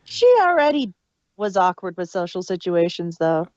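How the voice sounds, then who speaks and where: A woman's voice: fairly low pitch, very animated, normal speed, a little mellow, dry recording, highs cut off. A woman co-hosting a podcast, chatting casually in a wry, joking manner. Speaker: podcast co-host. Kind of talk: casual chat.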